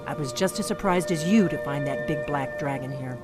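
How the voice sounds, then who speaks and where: A woman's voice: a little fast, lightly acted. A woman voicing a secretive, middle-aged veteran of an old order of guards, speaking in a blunt, conspiratorial video game dialogue. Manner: blunt, conspiratorial, low intense delivery. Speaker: game character. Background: game music.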